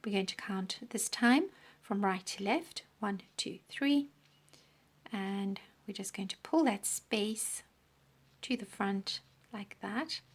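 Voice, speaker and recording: A woman teaching a crochet pattern step by step, counting stitches aloud, her voice croaky and often dropping into a breathy half-whisper. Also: medium pitch, slow pace. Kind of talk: step-by-step craft tutorial.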